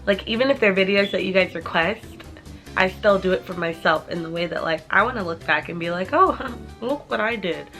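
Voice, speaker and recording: A woman's voice: fairly low pitch, brisk, a bit bright, faint music behind. Chatty young woman rambling to camera. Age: 20s. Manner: chatty, rambling with many "like" fillers. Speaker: vlogger. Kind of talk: casual Q&A chat to camera.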